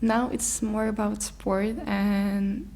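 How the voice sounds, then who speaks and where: A young woman from Russia, a guest in a casual interview, talking haltingly as she searches for words in English. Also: medium pitch, level tone, a little mellow, a little hiss or hum.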